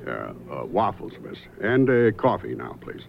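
A private eye from an old radio play, low and gravelly. He is dry and curious, feeling his way through questions and telling his own case. The sound is dull, with music behind. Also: huge pitch swings, measured pace, a little hiss or hum, full character voice. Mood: offhand, probing. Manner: hesitant, offhand questioning. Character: dry, casual male private detective, curious and unhurried. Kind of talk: radio drama acting and first-person narration.

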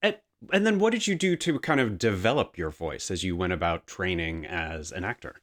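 Animated, low-pitched male podcast host. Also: normal speed. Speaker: podcast interviewer. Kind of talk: conversational podcast interview with scripted intro.

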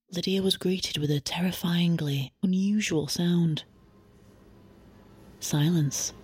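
A woman narrating scripted audio fiction as a storyteller, measured and deadpan. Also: deep voice, husky.

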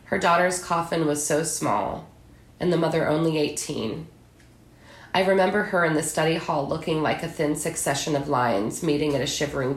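American woman writer, pretty deep voice, echoey room, podcast guest. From southern Illinois, United States. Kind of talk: literary reading and interview talk.

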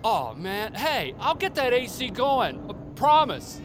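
A rowdy young buddy in an audio drama, teasing from off-mic. His high voice swings wildly, and loud noise surrounds him. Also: sing-song, a little slow, full character voice.

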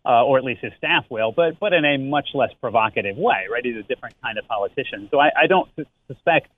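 A man's mid-pitched voice comes through a narrow, phone-like line. He speaks as an interview guest, laying out his analysis conversationally and dropping in small asides as he explains. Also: lively intonation, fast, a little hiss or hum. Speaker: interview guest, company chief executive. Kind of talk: conversational news commentary. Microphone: remote phone-like connection.

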